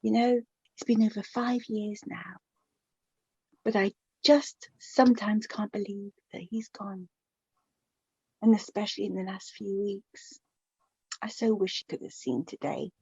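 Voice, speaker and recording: A middle-aged woman playing a chatty, wistful widowed mother of the bride in a naturalistic radio play, speaking in a halting, reflective, conversational way. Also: medium pitch, steady tone, vocal fry, dark tone, husky, dry recording, muffled recording. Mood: wistful, grieving.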